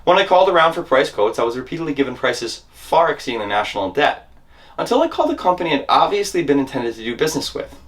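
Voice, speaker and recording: A man's voice: a little high, big pitch swings, fast, fairly bright tone. A man reading a memoir as a dramatic monologue, telling the story in scripted first person with theatrical emphasis.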